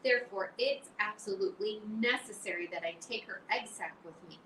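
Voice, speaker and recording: A woman's voice: medium pitch, normal speed, fairly bright tone, voice pushed up, lightly acted. A woman voicing an anxious, emotional young male pig who pleads and cries out, read as an audiobook. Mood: urgent. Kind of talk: audiobook reading. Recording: roomy, distant mic, faint hiss.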